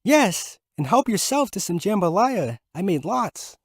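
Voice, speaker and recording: Man putting on a high, cheerful housewife. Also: sing-song, a little slow, slightly rough, no echo, clean studio sound, full character voice. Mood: warm, welcoming. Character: cheerful, hospitable wife of a gift doctor.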